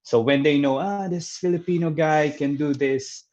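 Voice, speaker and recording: Man, casual panel guest, halting delivery full of fillers, muffled lo-fi sound. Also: a little high, steady tone, darkish tone. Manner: hesitant, many fillers and restarts. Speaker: videographer. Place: Dubai.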